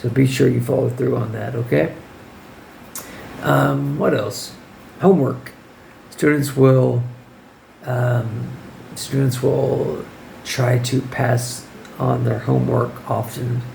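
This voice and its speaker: Hoarse, gravelly-voiced man giving teaching advice. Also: medium pitch, fairly even intonation, takes it slowly, pauses often, a little mellow, slight background noise, rough recording. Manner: informal, firm, checks in with "okay?". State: hoarse, losing his voice. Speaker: English teacher. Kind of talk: casual advice vlog.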